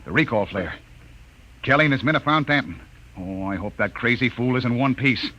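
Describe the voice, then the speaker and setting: A man acting a gruff, decisive spaceship captain in a 1950s radio drama, giving terse, authoritative orders. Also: mid-range voice, brisk, sharp tone, natural voice. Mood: grim, urgent. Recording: noisy, muffled old radio recording.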